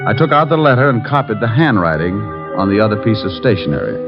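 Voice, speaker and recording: An actor playing a wisecracking, smooth-talking private detective in the manner of 1940s radio drama. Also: male voice, fairly low pitch, big pitch swings, quick pace. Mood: narrating. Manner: glib, teasing, smooth-talking. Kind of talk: 1940s radio detective acting with first-person narration. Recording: dull vintage radio recording with music.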